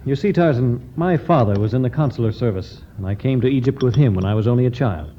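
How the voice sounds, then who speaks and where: An actor playing a suave young expatriate assistant in 1950s radio style, polite and formal. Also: male voice, medium pitch, quick pace, close to an everyday voice. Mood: smooth, courteous. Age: young adult. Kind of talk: 1950s radio adventure acting. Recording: dull, noisy 1950s radio recording.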